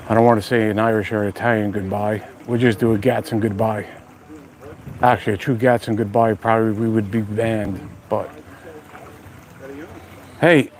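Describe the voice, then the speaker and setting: A chatty man with a New York accent talks straight to his viewers on a live stream. He jokes easily in a relaxed, unscripted way. He is recorded outdoors on a phone with faint noise behind him. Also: on the low side, rough recording. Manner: chatty, joking, addresses viewers directly. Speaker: outdoor channel host. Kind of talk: casual live-stream chat. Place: outdoors at a beach house.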